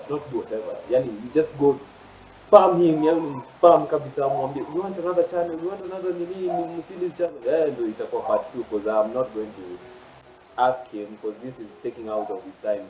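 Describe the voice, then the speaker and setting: A man's voice: medium pitch, mellow, reverberant, far from the mic, highs cut off, a little hiss or hum. A young man with a Kenyan accent hosting a video call, playful and slipping between English and Swahili. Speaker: host. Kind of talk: casual chat. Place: plain room indoors. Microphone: earphone mic on a video call.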